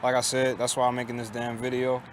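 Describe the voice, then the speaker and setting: A man in his late twenties talking in a casual confessional monologue, halting and repetitive, restarting often and swearing, with a resigned tone. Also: mid-range voice, completely flat delivery, slight background noise. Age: late 20s. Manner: resigned, downcast tone. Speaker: vlogger. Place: outdoors on a college campus.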